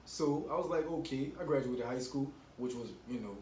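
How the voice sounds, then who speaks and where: Casual podcast guest, man in his twenties, reflective and open, mid pitch, echoey room with faint noise. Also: moderate pace, darkish tone. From Miami, United States. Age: 20s. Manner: earnest, reflective, opens up at length. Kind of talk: casual chat. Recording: echoey room, mic at a distance, faint hum.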